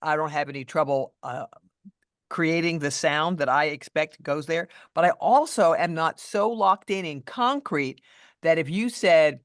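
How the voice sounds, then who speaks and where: A middle-aged woman voice coach with a deeper voice than many women, speaking in a measured, thoughtful way as a guest in a casual interview. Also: no echo, studio quality. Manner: measured, thoughtful, with restarts and fillers.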